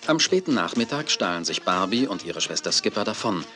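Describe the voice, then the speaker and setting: A man's voice: sits low, big pitch swings, a little fast, over music. A man narrating a scripted children's story as the narrator of an audio drama.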